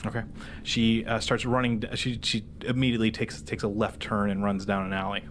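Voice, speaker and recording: A man's voice: on the low side, flat delivery, brisk, a bit bright, a little hiss or hum. A man speaking as game master, casually improvising narration and rules calls for a tabletop game.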